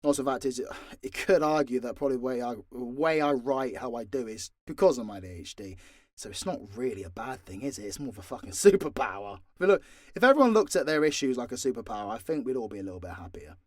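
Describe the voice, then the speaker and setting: A man's voice: mid-range voice, lively intonation, fast talker, no echo. A British man giving a frank, sweary, rambling and informal Q&A chat, close on the mic. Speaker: audio role-play creator and voice actor.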